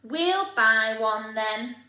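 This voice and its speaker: A woman voicing a brisk, encouraging mother in a children's storybook, with exaggerated, sing-song exclamations. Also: fairly high pitch, expressive, bright, forceful tone, exaggerated voice. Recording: echoey room.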